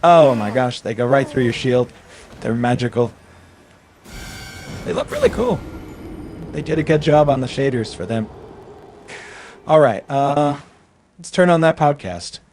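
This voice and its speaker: A man's voice: mid-range voice, sing-song, a little slow, long pauses, darkish tone, slight background noise. A man casually commenting aloud as he plays through a video game on stream. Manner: chatty, reacting aloud as he plays.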